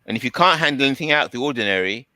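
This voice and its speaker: A man in a casual conversation explaining his views at length, haltingly and often repeating words. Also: mid-range voice, animated, bright, forceful tone, dry recording.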